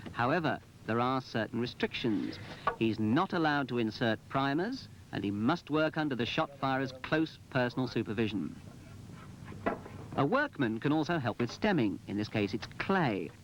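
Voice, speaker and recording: A man's voice: medium pitch, lively intonation, normal speed. A male narrator delivers scripted instruction with firm, orderly emphasis. The sound is a dull 1980s film soundtrack with some background noise. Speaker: narrator and presenter.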